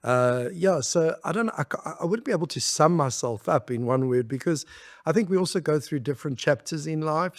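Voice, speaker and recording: A middle-aged man from South Africa, a podcast guest talking reflectively in a relaxed interview and laughing easily. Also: mid-range voice. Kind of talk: conversational interview.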